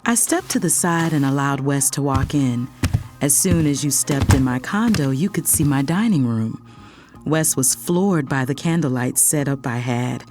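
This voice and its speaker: A woman acts out a playful, sassy young woman in an audio romance, telling her story in the first person. Her voice sits a little low and swings with animation. Faint music plays behind her. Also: a little mellow. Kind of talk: first-person audio drama narration and dialogue.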